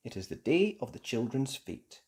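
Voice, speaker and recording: A woman narrating a children's storybook aloud, slow and expressive. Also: extremely low pitch, husky. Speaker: storybook reader.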